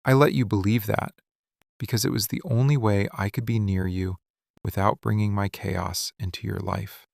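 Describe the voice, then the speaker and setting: Low, gravelly, possessive mafia boss, acted by a man. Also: fairly even intonation, a little mellow, no echo, clean studio sound. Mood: wounded, intense. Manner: intense, commanding, deliberate dramatic pauses. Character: possessive, brooding male mafia boss lover, menacing and obsessive. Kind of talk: scripted boyfriend ASMR audio roleplay.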